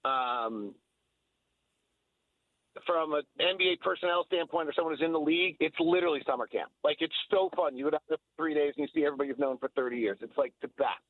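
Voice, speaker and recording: A man's voice: on the high side, fast, no echo, narrow, tinny audio. A man giving emphatic, opinionated basketball analysis in a sports radio conversation, repeating phrases to drive points home. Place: radio talk show.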